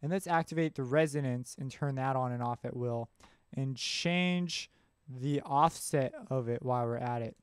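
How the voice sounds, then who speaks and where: A man presenting a software tutorial in an informal, offhand way, thinking aloud as he works. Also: mid-range voice, moderate pace, smooth, dry recording, clean studio sound. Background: synth music and instrument sounds.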